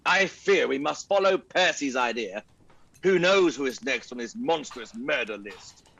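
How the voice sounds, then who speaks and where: An actor playing a proper, pompous British gentleman in a comic radio play, with theatrical delivery and a British accent. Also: medium pitch, expressive, a little slow, gravelly, breathy edge, dull audio, exaggerated voice. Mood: grave, dry. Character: indignant, proper British gent, detective's companion.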